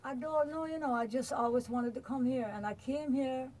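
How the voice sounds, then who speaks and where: A woman in her sixties, raised in the United States and long settled in Jamaica, whose Jamaican-tinged accent colours her chatty, relaxed stories as an interview guest. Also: high-pitched, normal speed. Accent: Jamaican-tinged American. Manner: chatty, relaxed, laughs easily. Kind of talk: casual interview storytelling.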